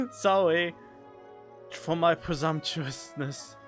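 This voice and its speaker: A man's voice: on the high side, sing-song, drawn-out words, fairly bright tone, soft background music. A man giving casual, playful and wry gaming commentary as a streamer. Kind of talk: casual joking commentary over gameplay.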